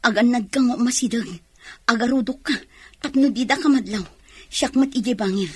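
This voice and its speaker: An actress playing an alert, resolute policewoman in an Ilocano radio drama, with a husky voice and a Filipino Ilocano accent. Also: a little high, normal speed, no echo. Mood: focused.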